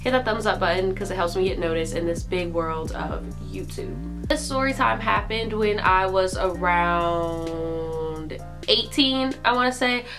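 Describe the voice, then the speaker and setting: A twenty-one-year-old woman tells a storytime vlog in a chatty, filler-heavy way. Her voice sounds congested from a cold. Music plays clearly behind her. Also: on the low side, unhurried. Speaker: YouTuber.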